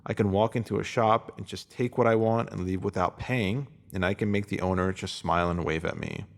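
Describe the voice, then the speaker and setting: Man, lowish voice, calm measured reading, reflective spiritual essay. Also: gravelly. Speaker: reader.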